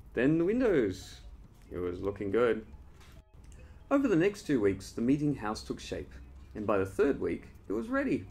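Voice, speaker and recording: A man reading his own children's story as an expressive storyteller, addressing young listeners directly. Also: medium pitch, creaky. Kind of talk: animated scripted storytelling for children.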